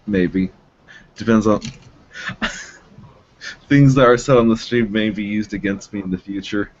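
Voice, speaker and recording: A man's voice: fairly low pitch, steady tone, takes it slowly. Young man cracking jokes, lo-fi. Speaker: podcast guest. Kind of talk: casual live-stream chat. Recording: dull lo-fi audio with faint noise.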